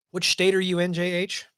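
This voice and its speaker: A man's voice: sits high, fairly bright tone, clean tone, dry recording, studio quality. A man in his forties hosting a casual live stream from a small home office, chatting with many ums and restarts. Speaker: livestream host. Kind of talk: casual live-stream chat reading viewer comments.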